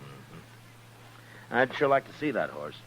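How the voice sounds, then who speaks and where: An actor playing a gruff, sardonic frontier marshal in an old-time radio western, stern and dry. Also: male voice, extremely low pitch, sing-song, takes it slowly, fairly bright tone, heavily acted. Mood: dry, measured. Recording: noisy old radio recording.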